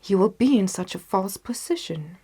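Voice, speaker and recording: A woman reading the part of a loyal, stubborn gentleman companion in a storybook, earnest and exclamatory. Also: medium pitch, expressive, moderate pace, husky.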